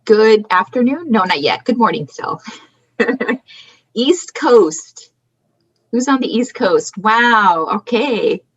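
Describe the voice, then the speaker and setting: Brief: woman co-host, husky voice, upbeat roll call, dull lo-fi video-call audio. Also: on the high side, animated, slow pace, pauses often. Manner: upbeat, chatty, laughing. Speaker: conference co-host. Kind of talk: casual roll call greeting.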